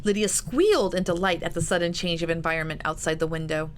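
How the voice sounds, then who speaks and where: A woman's voice: lowish voice, fast talker. A woman author reading her own historical novel aloud in an audiobook narration style. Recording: noticeable background noise.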